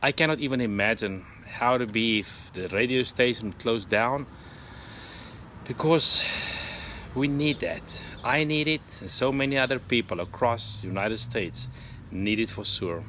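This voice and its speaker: A man's voice: medium pitch, measured pace, slight background noise. Enthusiastic man. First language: Afrikaans. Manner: warm, urging and enthusiastic. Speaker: radio listener giving a testimonial. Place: home in Arizona.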